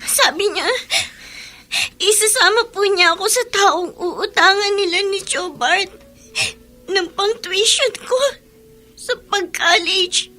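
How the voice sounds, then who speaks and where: Tearful, very high-pitched young daughter. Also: female voice, slow, long pauses, sharp tone, soft background music, full character voice. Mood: hurt, confessing. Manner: tearful, emotional. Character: distraught young daughter rescued from captivity. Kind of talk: Filipino radio drama acting.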